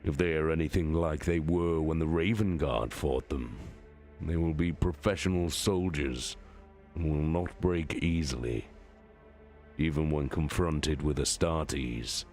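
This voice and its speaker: A man voicing a commanding, calculating warlord in a sci-fi story reading, speaking deliberately with cold contempt. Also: extremely low pitch, a little mellow, faint music behind. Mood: measured, strategic. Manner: slow, deliberate, imperious. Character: commanding, calculating male warlord, coldly contemptuous of his enemies. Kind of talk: dramatic audiobook-style reading of science fiction.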